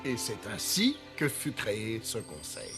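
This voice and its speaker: A man voicing a gentle elephant king and father, narrating his story in a French cartoon. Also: on the low side, huge pitch swings, unhurried, heavily acted. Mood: reflective. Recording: music behind the voice.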